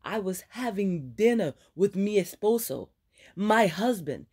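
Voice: mid-range voice, unhurried, breathy edge, voice pushed up. A reader voicing a fiery woman doctor, scolding her friend in a raised, sarcastic voice. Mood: exasperated. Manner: exasperated, sarcastic, lively scolding.

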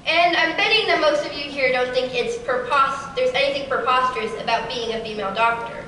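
A teenage girl giving a prepared keynote talk in a large auditorium, with a steady, rehearsed delivery. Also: high voice, fairly quick, slight background noise. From Kansas City area. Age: teens. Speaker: keynote speaker. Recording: echoey hall from far off.

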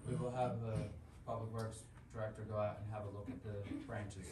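A man's voice: fairly low pitch, a little fast. A man chairing a township council meeting, reading agenda items in a procedural way. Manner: flat, procedural. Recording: echoey meeting room, far mic, some hiss.

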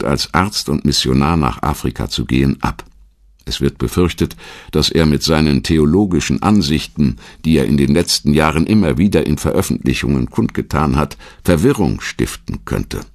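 A man reading a scripted documentary narration in a measured, dramatic storytelling manner. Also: extremely low pitch, creaky, no room sound, clean studio sound.

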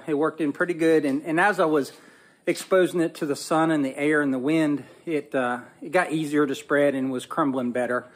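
A man's voice: a little high, slight background noise. A middle-aged man on a rural homestead, talking plainly and thinking aloud as he films a walk-around on his phone. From Northwest Florida. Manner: folksy, plainspoken, thinking aloud with small restarts. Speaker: homestead vlogger. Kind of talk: casual walk-around narration. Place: outdoors on a rural homestead by a pole barn.

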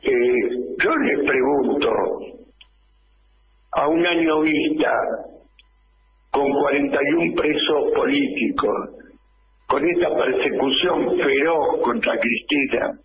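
An older man with an Argentine accent giving an impassioned political editorial on the radio, speaking slowly into a close studio microphone and hammering rhetorical questions. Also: fairly high pitch, lo-fi sound, a little hiss or hum. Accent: Argentine Spanish. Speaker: radio commentator. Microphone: studio microphone close up, wearing headphones.

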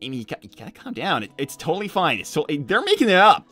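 A woman's voice: on the low side, huge pitch swings, fast, fairly bright tone, soft background music, exaggerated voice. A woman voicing a laid-back young male cartoon hedgehog hero, chatting in a casual, hesitant, rambling way on an in-character livestream. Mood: dismissive, reassuring. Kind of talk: improvised in-character livestream chat.

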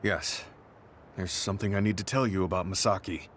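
A stern grizzled soldier. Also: male voice, deep voice, fairly even intonation, brisk, fairly bright tone, slight background noise. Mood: serious. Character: stern grizzled male soldier, earnest and blunt. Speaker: game character. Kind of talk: game cutscene voice acting.

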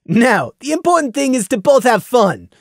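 A storyteller voicing a rowdy young pony stallion who loudly teases his friend. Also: mid-range voice, very animated, normal speed, dry recording, studio quality, voice pushed up. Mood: teasing. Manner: boisterous, teasing, pushy.

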